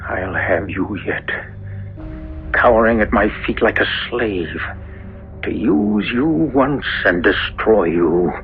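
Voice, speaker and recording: A man's voice: lowish voice, very animated, slow, heavily acted. Sinister, swooping devil-possessed young man. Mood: menacing, hushed. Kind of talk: 1970s radio drama acting. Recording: dull old radio recording with music.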